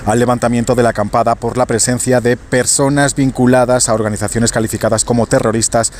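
Fast-talking Castilian Spanish male reporter. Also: medium pitch, level tone, darkish tone, slight background noise. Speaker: news reporter. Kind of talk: scripted news report.

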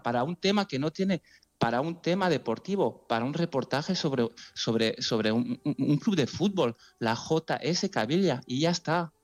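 A man with a voice of ordinary pitch talks earnestly in an interview. He is emotional and halting, stumbling and restarting phrases as he presses his point.

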